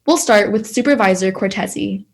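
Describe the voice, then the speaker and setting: A woman's voice: medium pitch, moderate pace, vocal fry. A young woman moderating a political forum, reading formal questions and instructions from a script.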